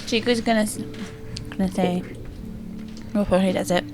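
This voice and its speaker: A woman with a mid-range voice chats through a tabletop roleplaying game with music clearly behind her. She improvises in character, using simple phrases that she repeats and breaks up. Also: medium pitch, fairly even intonation, moderate pace, darkish tone.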